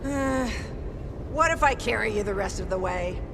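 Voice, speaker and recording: An actress playing a hot-tempered, protective young character in an anime dub, brash and exclamatory. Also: female voice, medium pitch, animated, moderate pace, creaky, over music. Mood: hesitant. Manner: scolding, exclamatory. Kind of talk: dubbed anime acting. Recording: loud ambient noise behind.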